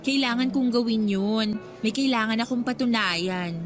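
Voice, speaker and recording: A woman's voice: a little high, normal speed, faint music behind, close to an everyday voice. A woman playing an ambitious, driven schoolgirl student leader in a radio drama, talking persuasively and insistently.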